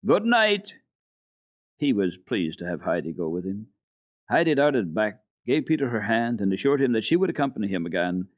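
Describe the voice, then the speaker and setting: A man giving an expressive dramatic reading of a children's classic as a radio storyteller, from an old radio recording. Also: fairly low pitch, a little fast, no echo, narrow, tinny audio.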